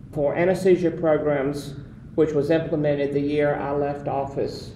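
A man's voice: a little high, dark tone. Older Southern man, roomy distant sound. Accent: Southern American. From Southern United States. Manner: rambling, hesitant with many fillers. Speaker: guest, former association president. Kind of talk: reminiscing interview talk. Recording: roomy, distant mic, faint noise.

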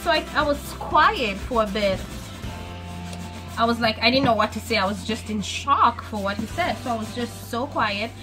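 A woman's voice: fairly high pitch, normal speed, over music, low-quality recording. A young woman with a foreign accent, chatting and telling stories in a casual cooking vlog from her small home kitchen. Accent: foreign, non-native English. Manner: chatty storytelling, animated. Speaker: vlogger. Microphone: phone camera on the counter.

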